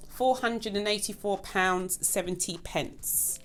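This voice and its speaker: A woman's voice: mid-range voice, slow, over music. Chatty woman budget vlogger. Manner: chatty, keeps addressing viewers as "guys". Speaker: budgeting channel host. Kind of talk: casual vlog chat.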